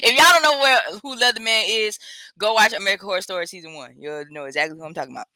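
Very bright, giggly woman co-host. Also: medium pitch, rapid-fire, no echo. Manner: laughs often, teasing. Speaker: podcast co-host. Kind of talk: casual chat.

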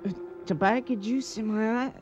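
An actor playing a naive, weary young cowhand in a Western radio drama, with hesitant, folksy speech. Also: male voice, sits high, steady tone, normal speed, lightly acted. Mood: groggy, confused.

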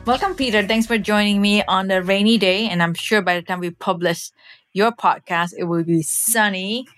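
A woman's voice: mid-range voice, moderate pace, fairly bright tone, soft background music. A woman hosting an interview podcast, moving between scripted introduction and conversational questions. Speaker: podcast host.

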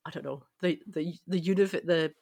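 A woman's voice: lowish voice, moderate pace, vocal fry, a little mellow, no room sound. A woman co-hosting a podcast, talking casually and reflectively in discussion. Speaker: podcast co-host.

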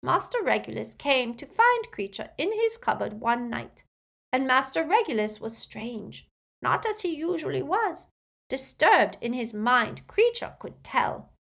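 A woman voicing a frightened, servile old male house-elf in an audiobook, tearful and halting as he speaks of himself in the third person. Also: high voice, very animated, creaky, fairly bright tone, voice pushed up, full character voice. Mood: haunted, distressed. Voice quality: tearful, moaning, breaking voice.

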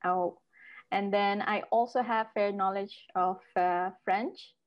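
A woman's voice: medium pitch, fairly even intonation, slow pace. Woman on muffled remote audio. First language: Nepali. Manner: polite, lists things with small hesitations. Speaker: guest expert in youth studies. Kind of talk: interview answers.

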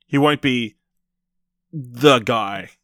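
A man hosting a podcast, casually reviewing films in a rambling, halting way with repeated false starts. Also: medium pitch, a bit bright, no room sound, clean studio sound. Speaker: podcast host. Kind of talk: casual film review chat.

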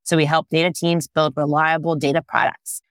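A woman's voice: low-pitched, little pitch movement, moderate pace, dry recording, clean studio sound. A woman in her thirties, born and raised in Israel, answering interview questions as a startup founder, thoughtful and full of "you know" fillers. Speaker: startup founder and CEO, guest.

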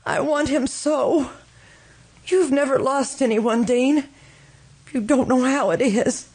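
A high, fervent voice from an actress playing a morbid young widow in an old-time radio melodrama. She is consumed by grief and pleads insistently, with a theatrical, obsessive intensity. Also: slow pace, a little mellow, breathy edge, heavily acted. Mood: desperate pleading.

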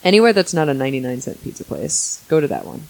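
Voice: medium pitch, wildly swooping pitch, fast talker, gravelly, no echo, rough recording. A podcast host in their forties chatting casually, joking in a wry, exasperated way. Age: 40s. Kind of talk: casual banter.

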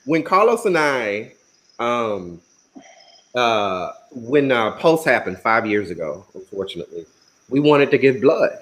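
A man's voice: medium pitch, very animated, unhurried, fairly bright tone. A man chatting casually in a group conversation, joking and using many fillers. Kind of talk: casual roundtable chat.

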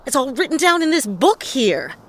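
A woman's voice: sits high, sing-song. A woman playing a wisecracking, cocky hardboiled detective in a comedic period noir audio play, slangy and sardonic. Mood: mocking, wry. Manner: slangy, sardonic, quick with quips.

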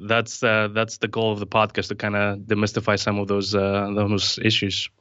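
A man from Greece in his thirties, explaining things in a relaxed, rambling way with plenty of fillers. His voice is bright and forward, recorded dry and close with a slightly dull, muffled top end. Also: fairly low pitch, normal speed, clear, resonant tone, dry recording. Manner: relaxed, many fillers and restarts. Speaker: distiller, podcast guest. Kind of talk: casual podcast conversation.

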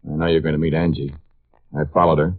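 A sullen, suspicious young man speaks in an extremely deep voice. The old radio play has a tinny, narrow sound. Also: flat delivery, quick pace. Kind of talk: 1950s radio drama acting. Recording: tinny band-limited vintage radio recording.

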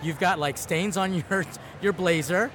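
Podcast host, man in his 40s, high-pitched, teasing and jokey, clear outdoor noise behind him. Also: measured pace. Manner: teasing, jokey, laughs often. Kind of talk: casual podcast interview.

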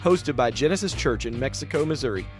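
Guy announcing a podcast intro, plucked guitar playing loud behind him. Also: medium pitch, animated. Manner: measured, announcing. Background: guitar music.

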